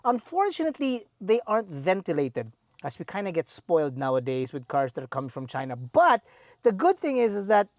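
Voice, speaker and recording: Lively Filipino man reviewing a car. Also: sits high, brisk, no echo, studio quality. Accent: Filipino English. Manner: chatty, playful, enthusiastic asides. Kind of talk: presenting a car review walkaround.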